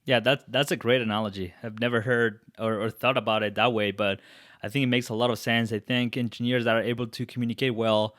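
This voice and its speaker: A man from Colombia with a Colombian Spanish accent hosts an interview and speaks earnestly, often restarting his phrases mid-thought. His voice sits at a middle pitch for a man. The sound is dry and close, with no room around it. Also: flat delivery, fairly quick, fairly bright tone, dry recording. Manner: earnest, reflective, with frequent restarts. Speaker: podcast host. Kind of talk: interview conversation.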